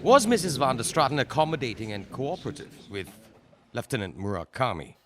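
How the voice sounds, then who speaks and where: An actor playing a male prosecutor who questions a witness in a formal, probing way, with careful pauses. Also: mid-range voice, sing-song, noisy background, close to an everyday voice. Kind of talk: audio drama acting.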